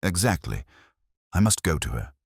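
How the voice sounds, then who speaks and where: Man acting a Victorian detective, very deep, resolute, terse, solemn, formal, storybook mystery, close dry sound. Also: big pitch swings, normal speed, slightly rough, no room sound, heavily acted. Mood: grave resolve.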